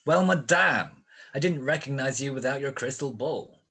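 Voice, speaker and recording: An actor playing a tough, sardonic private detective and narrator in 1940s radio style. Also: male voice, mid-range voice, animated, moderate pace, pushed and bright, breathy edge. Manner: sardonic, wisecracking.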